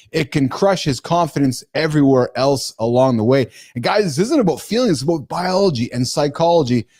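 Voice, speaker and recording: A middle-aged man hosting a live morning talk show, speaking casually and with animation, often addressing his listeners as guys. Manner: animated, calls listeners guys, jumps in pitch.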